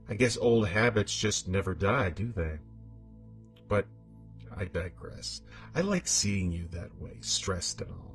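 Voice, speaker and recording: A man narrates dramatic horror in a low, slow voice. His delivery is theatrical and deliberate, rising and falling widely over music.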